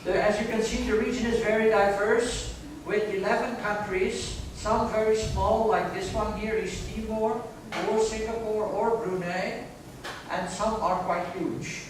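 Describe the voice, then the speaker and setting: High-voiced man lecturing in an echoey classroom. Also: steady tone, unhurried. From Belgium. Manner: conversational, often checks in with "right?". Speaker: guest lecturer. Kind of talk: university lecture. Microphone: distant room mic. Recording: echoey classroom, distant and noisy.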